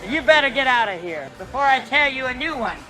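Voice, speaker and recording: A woman voicing a brash, scolding, sharp-tongued diner worker in a motion comic. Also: high-pitched, animated, a little fast, music in the background, rough recording. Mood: irritated. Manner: abrasive, scolding.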